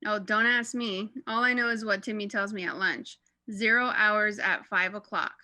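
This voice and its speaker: A woman's voice: medium pitch, normal speed, pushed and bright, no room sound. A young woman playing a breezy suburban mother chatting and gossiping on the telephone in a radio play. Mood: breezy, chatty. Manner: bubbly, gossipy.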